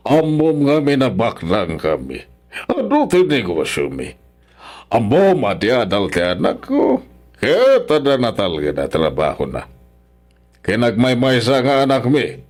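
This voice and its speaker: A man's voice: medium pitch, wildly swooping pitch, a little slow, a little mellow, soft background music. A man playing a stern, scornful, wealthy older father-in-law in an Ilocano radio drama, scolding haughtily with an Ilocano accent. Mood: angry, accusing.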